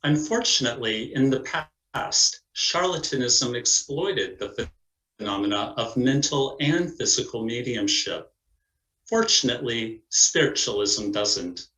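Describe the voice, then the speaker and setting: Slow, bright-voiced man narrating in an echoey room. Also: mid-range voice, expressive. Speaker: narrator of a stage play. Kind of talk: scripted narration. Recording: roomy echo.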